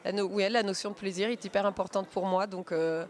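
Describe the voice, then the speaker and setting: A woman from Marseille in her thirties, a film director speaking in a festival interview, thoughtful and pausing often with fillers and restarts. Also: mid-range voice, fairly even intonation. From Marseille, France. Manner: thoughtful, many hesitations and restarts.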